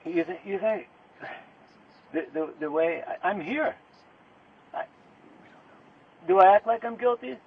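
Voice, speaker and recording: A man under arrest talking informally with police, insistent and protesting, halting and often repeating himself. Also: high voice, lively intonation. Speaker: arrested murder suspect. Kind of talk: informal back-and-forth with police during arrest. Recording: noisy, tinny police audio recording.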